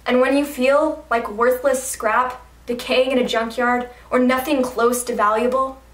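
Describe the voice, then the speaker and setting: Echoey, higher-voiced woman performing slam poetry. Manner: dramatic, deliberate, rhythmic delivery. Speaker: slam poet. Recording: echoey room, distant mic.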